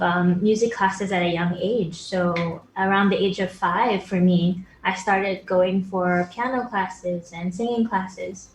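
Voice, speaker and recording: A woman in her twenties from Brunei, a singer answering interview questions over a video call, polite and soft-spoken. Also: medium pitch, reverberant, slight background noise, rough recording. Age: 20s. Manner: polite, soft-spoken, addresses host as sir. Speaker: guest singer. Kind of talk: remote interview, casual.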